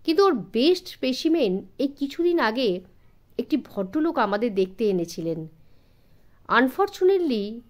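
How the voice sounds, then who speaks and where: A man's voice: extremely high pitch, big pitch swings, unhurried, exaggerated voice. A woman voicing a courteous, helpful male museum assistant who explains things politely and mixes in English phrases. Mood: eager, explaining.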